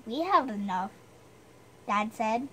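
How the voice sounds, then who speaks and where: A woman's voice: on the high side, expressive, slow, a little hiss or hum. A woman reading a storybook aloud with chatty, self-deprecating asides, stumbling over the odd word.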